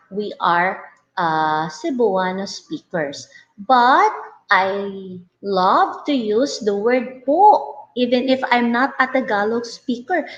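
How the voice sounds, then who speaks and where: A Filipino woman teaching over a webcam, talking slowly and warmly encouraging her learners as she goes through each answer. The sound is dull and lo-fi, with a roomy echo around her voice. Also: mid-range voice. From Mindanao, Philippines. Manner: encouraging, reads items aloud then explains. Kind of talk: online lesson.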